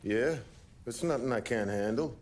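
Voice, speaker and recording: A man's voice: mid-range voice, moderate pace, a little mellow, a little hiss or hum, close to an everyday voice. An actor playing a streetwise mob underling in a video game, blunt and clipped in his talk. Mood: guarded. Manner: plain-spoken, clipped. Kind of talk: cinematic game voice acting. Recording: music behind the voice.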